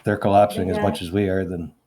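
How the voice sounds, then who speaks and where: A man's voice: low-pitched, flat delivery, fast, a loud crowd. A man playing a tabletop game, talking in a casual, loose way that drops the odd swear. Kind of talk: in-character roleplay chat.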